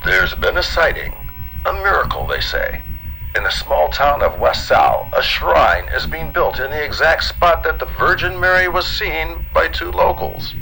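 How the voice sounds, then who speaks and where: An actor playing an earnest 1950s television newsreader, announcing in period broadcast style over a noisy old-style transmission. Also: male voice, on the high side, very animated, measured pace, gravelly, bright, forceful tone, heavily acted. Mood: earnest, solemn.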